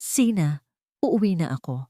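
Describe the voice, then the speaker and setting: A woman's voice: on the low side, lively intonation, unhurried, dark tone, dry recording, clean studio sound. A woman voicing a remorseful billionaire man who renounces his wealth, speaking in an earnest, pleading way. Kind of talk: storybook dialogue read by narrator.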